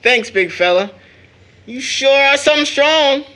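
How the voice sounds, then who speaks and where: A bright young man with very lively, swinging intonation. He is good-natured and jovial, teasing his way through an audio drama. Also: very high-pitched, measured pace, heavily acted, rough recording.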